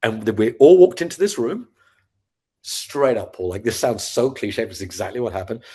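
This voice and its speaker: A man in his fifties with a low, slightly husky voice and a neutral British accent, though he grew up in Scotland. He tells stories animatedly and acts out his younger self in quoted asides. His talk is casual and fast. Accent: neutral British, not Scottish-sounding. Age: 50s. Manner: lively storyteller acting out his past self in quotes. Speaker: podcast guest, sales founder. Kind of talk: animated storytelling in casual chat.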